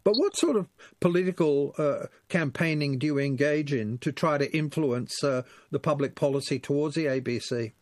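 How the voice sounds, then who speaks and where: A man's voice: on the high side, normal speed, dry recording, studio quality. A man hosting a community radio interview, asking long wordy questions with many fillers.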